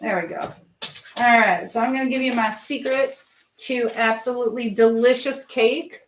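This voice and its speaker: A woman's voice: a little high, steady tone, normal speed. A woman chatting casually to livestream viewers while she cooks at home, distracted and hopping between tasks and comments. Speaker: live streamer. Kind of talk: casual chat with live viewers while cooking. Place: home kitchen. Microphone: phone livestream, moving nearer and farther. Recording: echoey kitchen with faint background noise.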